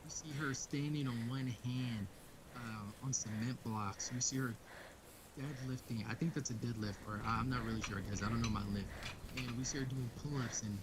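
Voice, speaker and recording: A man's voice: medium pitch, fairly even intonation, normal speed, noisy background. A man doing a casual comic read-along commentary in a profane, slangy way.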